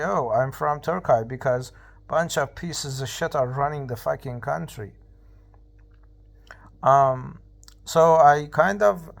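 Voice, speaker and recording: A young man in his twenties vents in a casual vlog monologue. He talks slowly and swears freely, stopping and restarting his thoughts. His pitch sits in a middle range. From Iran. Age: 20s. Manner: profane, ranting, blunt; halting, many fillers and restarts. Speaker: vlogger.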